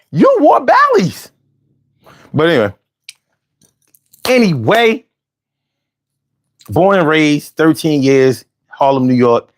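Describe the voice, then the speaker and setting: A man in his forties from Philadelphia with a Philly accent, hosting a casual, argumentative monologue full of slang and restarts. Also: on the high side. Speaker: host.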